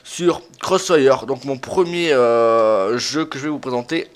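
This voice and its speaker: A young man talks over a video game as its host. His voice sits at a medium pitch. He is informal and self-deprecating, rambling loosely through his commentary. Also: a little slow. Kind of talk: casual let's play commentary.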